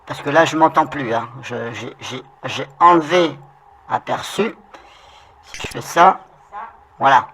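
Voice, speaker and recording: A man talking through a pitch-raising voice changer in an informal software tutorial, thinking aloud. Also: unhurried, long pauses. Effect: pitch-raising fairy voice changer.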